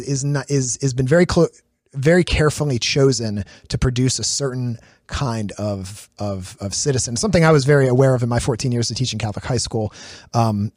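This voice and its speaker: A man's voice: medium pitch, a little mellow, no echo. Reflective man with dry close sound. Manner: reflective, hesitant with restarts. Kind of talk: casual discussion.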